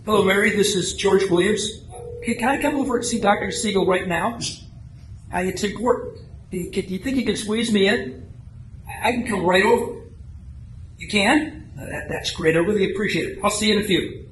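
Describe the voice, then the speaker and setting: A man's voice: high voice, normal speed, long pauses, a bit bright. A man playing an anxious, health-worried office worker in a radio play, hesitant and stammering. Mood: urgent, polite. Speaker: amateur radio drama actor. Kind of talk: live radio play reading. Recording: echoey room, faint background noise.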